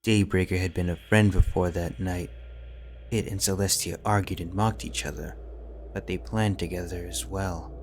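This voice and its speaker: A man narrating a fantasy story audiobook in a slow, measured, somber way. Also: low voice, steady tone, darkish tone, slight background noise. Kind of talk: scripted fanfiction narration. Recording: music bed under the reading.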